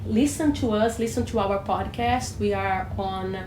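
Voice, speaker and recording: Slow, echoey Brazilian woman co-host. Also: mid-range voice, noisy background. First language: Portuguese. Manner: animated, restarts phrases often. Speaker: podcast co-host. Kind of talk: casual teaching chat. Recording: echoey room, faint ambience.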